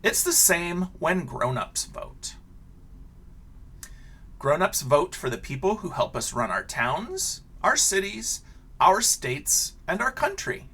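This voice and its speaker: A man who is a teacher reading a children's picture book aloud, with expressive questions and casual asides pitched at young listeners. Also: slow, slightly rough.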